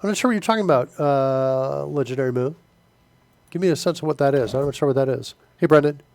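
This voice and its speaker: A man's voice: medium pitch, fast talker, creaky, a little mellow. Stuffy-nosed man chatting while coding. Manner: loose and filler-heavy, answers chat as he works. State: stuffy nose. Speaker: live coding streamer. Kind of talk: casual live-stream chat while coding.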